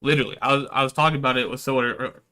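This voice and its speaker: A man's voice: mid-range voice, very fast, pushed and bright. A man giving casual, slangy gaming livestream commentary, swearing and chatting to his viewers. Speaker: live streamer.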